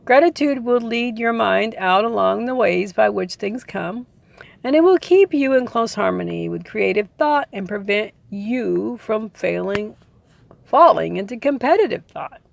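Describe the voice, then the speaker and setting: A woman narrates a self-help audiobook in a medium-pitched voice with a noticeable creak. Her phrasing is deliberate and emphatic, moving between read text and informal commentary. The sound is close and dry. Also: lively intonation, no echo. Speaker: audiobook reader adding personal commentary.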